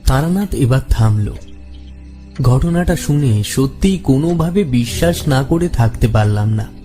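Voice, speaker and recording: A male narrator tells a scripted story in the first person. His medium-pitched voice is dark and slow, with soft music behind it. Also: expressive.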